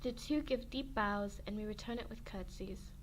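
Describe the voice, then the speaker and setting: A woman's voice: mid-range voice, normal speed, a little hiss or hum, poor audio. A young woman reading an interactive romance story game aloud, playful and giggly, breaking off for jokes and corrections. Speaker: game narrator. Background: faint music.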